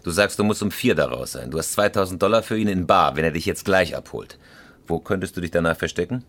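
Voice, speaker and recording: A man's voice: low-pitched, expressive, quick pace, fairly bright tone, full character voice. An actor playing a cool, commanding career criminal in a radio crime drama, terse and matter-of-fact as he gives orders. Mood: cool, calculating.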